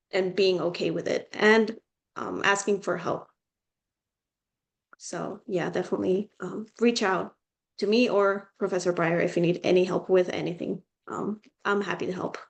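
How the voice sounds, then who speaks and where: Young Finnish-speaking woman tutor, chatty. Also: mid-range voice. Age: young adult. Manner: chatty, frequent "like" and ums. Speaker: student tutor. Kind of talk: casual advice to students.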